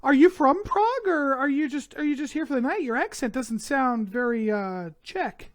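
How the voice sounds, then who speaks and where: A sociable young elf woman from a tabletop game, chatting and welcoming newcomers, played by a man. The voice sits higher than usual and carries a light, eager lift. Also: expressive, normal speed, dry recording, studio quality. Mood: friendly, chatty.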